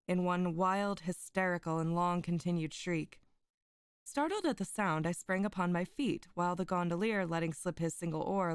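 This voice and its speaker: Young woman streamer, voice a bit hoarse, reads old stories aloud, laughs at her own slips, dry close mic. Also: lowish voice, dry recording. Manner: giggly, chatty, stumbles over foreign words. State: slightly rough, hoarse voice. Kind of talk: casual chat and literary reading aloud.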